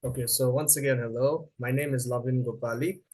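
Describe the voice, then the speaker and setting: A man's voice: medium pitch, steady tone, brisk, a little mellow, slightly rough. A young man with a Nepali accent, answering interview questions as a researcher, a little hesitant with fillers and restarts.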